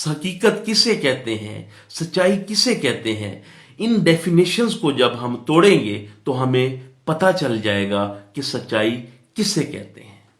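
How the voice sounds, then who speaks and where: A man with a Pakistani accent giving an explanatory lecture as a law teacher, in a didactic way, repeating points and answering his own questions. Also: fairly high pitch. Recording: echoey room.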